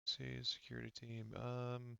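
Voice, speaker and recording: A man game-mastering a tabletop roleplaying game in a casual, halting, rambling way, explaining as he goes. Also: mid-range voice, monotone, vocal fry, pushed and bright, clean tone, no room sound, muffled recording.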